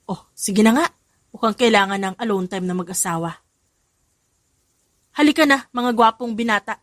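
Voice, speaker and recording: A woman's voice: mid-range voice, moderate pace, dry recording, studio quality, close to an everyday voice. A woman voicing a scolding, teasing, motherly old woman in an audio drama.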